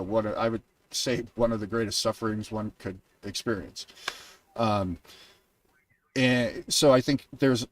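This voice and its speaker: A man hosting a podcast, making a measured case in casual discussion. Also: lowish voice, far from the mic, rough recording. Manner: measured, argues with evidence. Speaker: podcast host.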